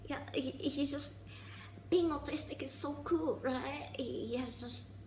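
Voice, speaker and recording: A woman around twenty talking casually in a personal vlog, halting and restarting, with sarcastic asides. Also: high voice, steady tone, reverberant, a little hiss or hum. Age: 20s. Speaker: vlogger.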